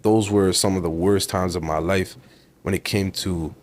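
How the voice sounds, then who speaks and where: A young man in his twenties with a Toronto accent talks on a podcast in a somewhat low voice. He sounds emphatic and motivational, leaning on repeated words to drive each point home. He is casual with the host and keeps calling him bro. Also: fairly even intonation, normal speed. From Ontario, Canada. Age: 20s. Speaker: podcast guest. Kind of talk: casual interview talk.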